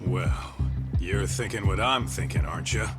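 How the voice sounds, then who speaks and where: A man's voice: lowish voice, normal speed, over loud music, lightly acted. An actor playing an easygoing, streetwise man in a video game dub, casual and brash. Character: easygoing, streetwise male buddy.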